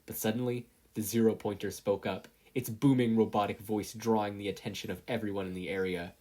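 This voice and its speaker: A narrator reading scripted fiction aloud in an animated storytelling style. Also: low voice, fairly quick. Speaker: podfic narrator.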